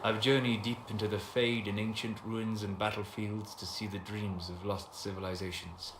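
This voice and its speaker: A low, composed voice of a reserved elven scholar-mage, played by a man in a fantasy game. He speaks formally and eloquently, with a wistful gravity. The sound is roomy, with faint noise behind it. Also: little pitch movement, normal speed, lightly acted. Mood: solemn, intrigued. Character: calm scholarly male elf apostate mage, wistful and reserved. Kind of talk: video game dialogue acting. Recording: echoey room with background noise.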